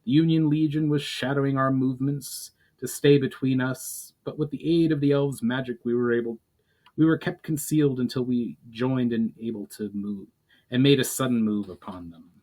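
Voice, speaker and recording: A man's voice: mid-range voice, steady tone, moderate pace, dark tone, close to an everyday voice. A loyal fantasy war commander, acted out by a man, reporting to his lord. He speaks formally and courteously, as in a storybook.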